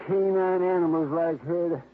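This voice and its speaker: A blustering older dog owner. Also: male voice, high-pitched, fairly even intonation. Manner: blustery, gruff, quick-tempered. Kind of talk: 1950s radio drama acting. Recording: tinny old radio broadcast, faint hiss.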